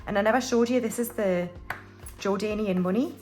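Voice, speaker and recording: A woman's voice: medium pitch, brisk, darkish tone, faint music behind. Chatty British woman in her forties. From United Kingdom. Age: 40s. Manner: chatty and rambling, with frequent restarts and fillers. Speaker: budgeting vlogger. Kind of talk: casual chat while stuffing cash envelopes.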